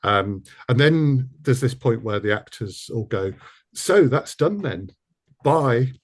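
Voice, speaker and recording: A man's voice: mid-range voice, lively intonation, slow. A man interviewing casually as a producer, with a hesitant, jokey way of talking. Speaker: interviewer, audio drama producer.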